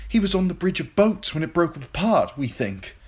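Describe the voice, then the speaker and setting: A man voicing a wounded young knight who shouts and pleads in a frantic rush. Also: on the high side, sing-song, normal speed, breathy edge, pitched above the performer's own voice. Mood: panicked.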